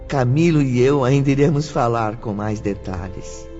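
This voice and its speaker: A man narrating an audiobook in a solemn, measured storytelling manner. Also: medium pitch, mellow. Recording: dull lo-fi audio, faint music.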